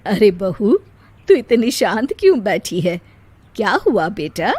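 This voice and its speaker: A woman's voice: mid-range voice, sing-song, slow, a little mellow, husky, dry recording, heavily acted. A woman playing an older mother-in-law in an audio story, bossy yet caring, with questioning, scolding interjections. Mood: concerned, coaxing.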